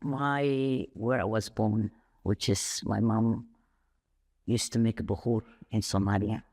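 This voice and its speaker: A woman who grew up in Saudi Arabia, a podcast guest telling stories in an animated, rambling way with sudden jumps up in pitch. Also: slow. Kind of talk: conversational interview.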